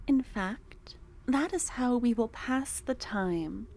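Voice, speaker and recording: Woman voicing an obsessive female cultist, possessive, doting, soft murmur and whispers, slightly high voice, mellow tone. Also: expressive, takes it slowly. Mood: curious, doting. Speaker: ASMR roleplay performer. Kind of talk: ASMR roleplay.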